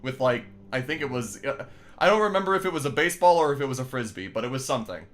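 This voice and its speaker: A man's voice: mid-range voice, lively intonation, quick pace, fairly bright tone. A young man streaming a game in casual chat, rambling and swearing, laughing often, reading chat aloud and playing with a put-on accent. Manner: playfully tries out a put-on accent.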